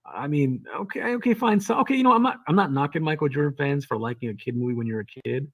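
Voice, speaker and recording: A man's voice: a little high, lively intonation, fast talker, no room sound. A man hosting a call-in live stream, arguing in a casual way and repeating himself, often saying "man". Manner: argumentative, repeats words and says "man" a lot. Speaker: livestream host.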